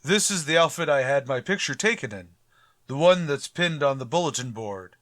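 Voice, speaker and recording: An actor playing a pompous, grumbling middle-aged school principal in a radio comedy, formal and dryly sarcastic. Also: male voice, medium pitch, expressive, moderate pace, fairly bright tone, dry recording, clean studio sound, lightly acted. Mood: vain, formal. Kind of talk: old-time radio comedy acting.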